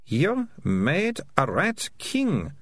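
A man's voice: on the high side, huge pitch swings, extremely slow, a bit bright, slightly rough, no echo, clean studio sound, pitched above the performer's own voice, full character voice. A man voicing a knowing, decisive girl in a storybook reading. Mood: firm, knowing.

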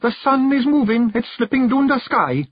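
A man's voice: very high-pitched, sing-song, moderate pace, no room sound, dull audio, pitched above the performer's own voice, full character voice. A man voicing a young, nervous male pictsie seer with a Scottish accent, earnest and anxious. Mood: frightened.

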